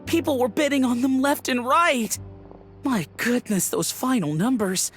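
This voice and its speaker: A woman's voice: high-pitched, animated, measured pace, soft background music. A woman voicing a genteel, easily astonished auction-goer in a video game, exclaiming with sighs. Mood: astonished, wistful.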